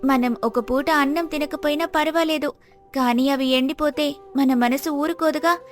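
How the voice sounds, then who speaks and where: A woman voicing a gentle, devoted, hardworking village wife in an earnest, respectful manner for a moral story. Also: sits high, normal speed, lightly acted. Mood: earnest, firm.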